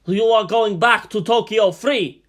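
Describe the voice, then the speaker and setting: A man's voice: sits high, a little slow, fairly bright tone, pitched above the performer's own voice. A man acting out a blustering, commanding Soviet leader. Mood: gleeful, triumphant. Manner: emphatic, blustering, grand. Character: boisterous, commanding Soviet Premier, adult man.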